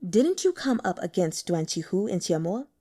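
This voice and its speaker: A woman reads a frail young male strategist, calm and shrewd, with measured, guarded speech. The tone is mellow and soft, and the pitch rises and falls with some life. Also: breathy edge.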